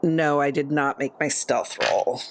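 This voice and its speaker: A woman's voice: low-pitched, fairly even intonation, a little slow, heavy background noise. A woman playing a tabletop roleplaying game, talking casually about what her character will do.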